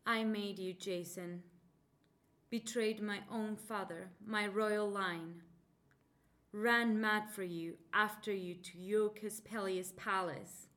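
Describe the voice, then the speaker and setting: A woman's voice: mid-range voice, steady tone, slow. A woman declaiming as a scorned vengeful queen. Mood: furious. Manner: loud, declaiming, sarcastic. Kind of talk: dramatic monologue reading.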